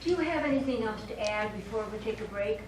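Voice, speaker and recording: An actress in a live stage play as a formal, businesslike judge presiding over a court. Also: female voice, a little high, fast, a little mellow, lightly acted. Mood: official. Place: small theatre studio, distant microphone. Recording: echoey, noisy stage recording from far off.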